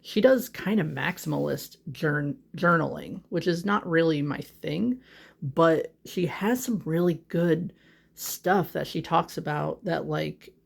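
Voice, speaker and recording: Young adult vlogger, home office, handheld phone. Loose, rambling and self-conscious, heavy on fillers, with a gravelly fry. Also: mid-range voice, slow pace. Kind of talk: casual chat. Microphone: phone held in hand.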